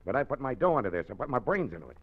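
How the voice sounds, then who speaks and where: A man's voice: medium pitch, big pitch swings, rapid-fire, husky. An actor playing a scheming gangster boss in a 1940s radio drama, talking in a hard, clipped tough-guy manner. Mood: menacing. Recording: dull old radio broadcast.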